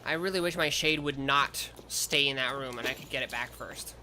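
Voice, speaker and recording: A man streaming a game, chatting casually in a rambling, self-correcting way, with sudden loud outbursts at the game. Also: mid-range voice, moderate pace, a little hiss or hum. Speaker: vtuber streamer. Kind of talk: casual gameplay chat. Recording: game music playing behind.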